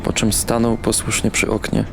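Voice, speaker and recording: An actor playing a bitter, brooding young man in an audio drama, narrating and speaking in a weary, terse deadpan. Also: on the low side, normal speed, creaky, over music. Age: young adult. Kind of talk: audio drama first-person narration and dialogue.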